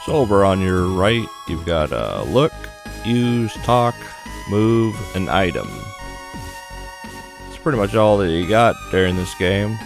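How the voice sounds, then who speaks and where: A man's voice: low voice, expressive, unhurried, gravelly. A man hosting a gaming video, narrating a playthrough in a casual, plainspoken way. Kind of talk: game playthrough commentary, reading on-screen story text. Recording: music behind the voice. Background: game music.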